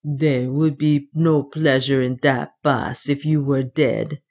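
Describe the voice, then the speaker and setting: A woman's voice: low voice, slow. A woman voicing a loyal, boastful male dwarf warrior in an audiobook, with a grim, emphatic manner. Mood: sorrowful, vengeful. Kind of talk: audiobook character dialogue.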